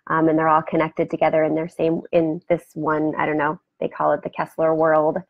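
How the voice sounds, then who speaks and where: A woman with a scratchy, gravelly voice, talking casually on a home live stream and greeting viewers as they arrive. Her voice sits fairly low, and the sound is dry and a little dull, like a webcam in a small room. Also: completely flat delivery, brisk, no echo. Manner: chatty, rambling, greets viewers by name. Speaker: host. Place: home room beside a turtle aquarium. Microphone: webcam for a live video.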